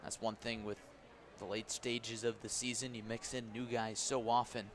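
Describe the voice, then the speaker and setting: Male baseball play-by-play announcer, medium pitch, clipped calls, conversational asides, live radio, light ballpark background noise. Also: level tone, normal speed. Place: outdoor baseball stadium. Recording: clear outdoor stadium ambient noise. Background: outdoor ballpark ambience.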